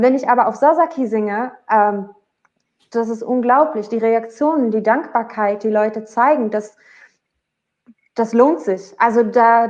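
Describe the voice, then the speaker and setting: A woman's voice: fairly high pitch, a little mellow, highs cut off. A woman from the Netherlands, a singer and guest, talking reflectively in German, her second language, searching for words now and then. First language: Dutch. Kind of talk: casual interview talk.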